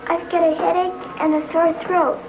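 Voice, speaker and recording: Schoolgirl daughter, whiny innocence, very high pitch, vintage TV Western, muffled sound. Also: flat delivery, normal speed, noisy background. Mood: feigning illness. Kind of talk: 1950s television Western acting. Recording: dull old TV soundtrack, music behind.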